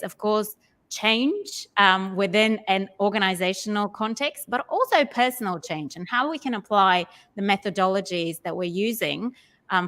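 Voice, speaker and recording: A woman's voice: mid-range voice, pushed and bright. A woman hosting a live online interview session, a business change coach who talks in an upbeat, welcoming way with frequent ums.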